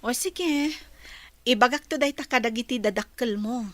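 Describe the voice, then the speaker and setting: A woman's voice: a little high, moderate pace, fairly bright tone, no room sound, lightly acted. A woman playing an earnest, friendly young graduate in an audio drama, with a Filipino Ilocano accent. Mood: understanding.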